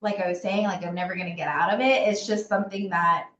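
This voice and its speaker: A woman in her thirties speaking in a relaxed, reflective way, using plenty of fillers. The sound is a bit dull and carries a roomy echo. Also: lowish voice, fast, slight background noise. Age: 30s. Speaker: client service assistant. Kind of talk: casual interview answers.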